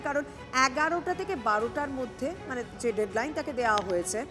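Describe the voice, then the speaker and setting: A woman anchoring live breaking news, halting and repeating phrases for emphasis. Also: on the high side. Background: news music bed.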